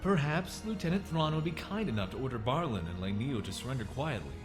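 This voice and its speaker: An actor playing a persuasive space pirate leader, controlled and wry, in an audio comic dub. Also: male voice, medium pitch, very animated, quick pace, over music, lightly acted. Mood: controlled, bargaining. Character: smooth-talking, bargaining male space pirate leader.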